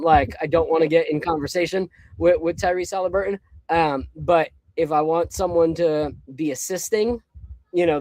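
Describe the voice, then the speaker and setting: Higher-voiced man in his forties, dry sound. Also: a little mellow, no room sound, rough recording. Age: 40s. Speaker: podcast host. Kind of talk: casual podcast chat.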